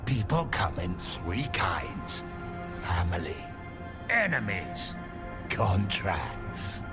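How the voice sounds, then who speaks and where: An actor playing a possessive, hungry demon in a video game, speaking in terse, clipped fragments with a breathy, often half-whispered voice. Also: male voice, low voice, big pitch swings, drawn-out words, loud music behind the voice, full character voice. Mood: wary, musing. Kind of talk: video game character acting.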